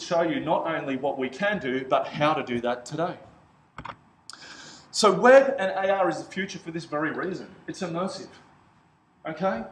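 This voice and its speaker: Upbeat loud guy talking at a conference, voice a bit high, big echoey room with faint hum. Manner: energetic, asks the audience questions. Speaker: conference speaker. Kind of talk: conference talk. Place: large hotel function room. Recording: echoey hall with faint ambience.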